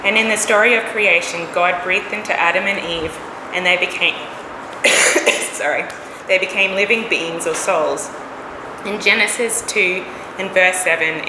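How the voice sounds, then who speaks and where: A woman who has lost some of her voice, coughing now and then, giving a relaxed, chatty sermon in a church. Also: mid-range voice, measured pace. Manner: relaxed, conversational, chatty asides. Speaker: preacher. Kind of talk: casual sermon. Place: church hall. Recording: echoey church hall, air conditioning hum.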